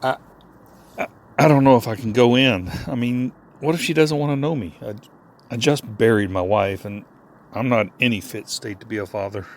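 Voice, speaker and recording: A man's voice: medium pitch, normal speed, lightly acted. An actor voicing Larry, a grieving widower and nervous father who hesitates and stammers. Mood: anxious. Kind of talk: audio drama acting.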